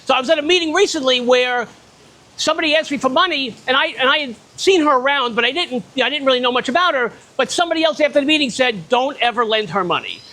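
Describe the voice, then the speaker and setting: A man with a loud voice giving a casual, blunt talk at an investor meetup, speaking without a microphone in a restaurant. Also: very high-pitched, expressive, fast. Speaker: guest speaker on private lending. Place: restaurant event space in the rain.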